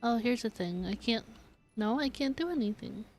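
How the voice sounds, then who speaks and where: Woman, game streamer, fairly high voice, casual play-by-play, faint music behind. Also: animated, normal speed, darkish tone. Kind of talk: casual gameplay commentary, reading quests aloud.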